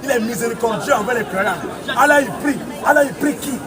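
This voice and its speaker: A man's voice: extremely high pitch, brisk. A man preaching in a heated street debate, insistent and combative, repeating his phrases and challenges. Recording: distant mic amid loud outdoor crowd.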